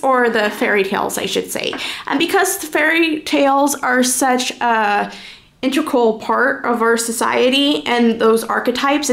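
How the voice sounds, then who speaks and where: A woman's voice: a little high, creaky, fairly bright tone. A woman talking casually and informally as she gives a first-impressions review, thinking aloud as she goes. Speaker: reviewer presenting a card deck.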